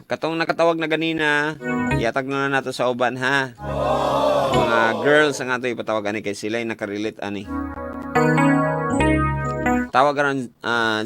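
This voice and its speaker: A Filipino man talks as a radio host in a casual, conversational way, addressing listeners and callers directly. His mid-pitched voice rides over a loud bed of guitar and keyboard music. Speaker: radio host taking listener calls and reading messages. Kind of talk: casual radio advice talk in mixed languages.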